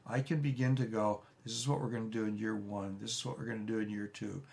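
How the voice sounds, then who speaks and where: Older pastor, gravelly voice. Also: male voice, on the low side, fairly even intonation, fast talker, a little mellow. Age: 70s. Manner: folksy, rambling, many restarts and asides. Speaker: pastor teaching a church leadership course. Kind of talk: unscripted teaching talk.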